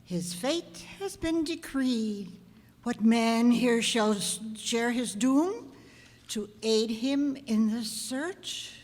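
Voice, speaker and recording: A messenger of God passing judgment in a radio play. The voice is fairly high and very slow, grand and archaic, with long reverb around it. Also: female voice, lively intonation, darkish tone. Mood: stern. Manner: solemn, grand, archaic pronouncement. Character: stern heavenly messenger of God pronouncing doom. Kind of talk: old-time radio drama acting. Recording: echoey hall with long reverb.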